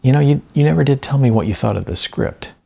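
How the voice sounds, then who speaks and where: Narrator as nervous, hesitant young woman filmmaker, husky. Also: medium pitch, animated, warm tone, pitched above the performer's own voice, exaggerated voice. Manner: hesitant, halting, fidgety.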